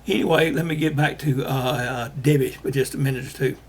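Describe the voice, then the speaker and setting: An older man from Alabama talks to his viewers in a hoarse, gravelly voice that keeps breaking into fry. His delivery is halting, full of pauses and restarts, and his voice sounds husky and strained. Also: mid-range voice, steady tone. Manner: halting, many fillers and restarts. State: losing his voice. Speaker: host. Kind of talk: casual monologue to viewers.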